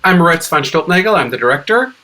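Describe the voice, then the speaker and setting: A man's voice: a little high, lively intonation, quick pace, bright, forceful tone, faint music behind. A man speaking as a director and interview guest, giving reflective thoughts in conversational talk.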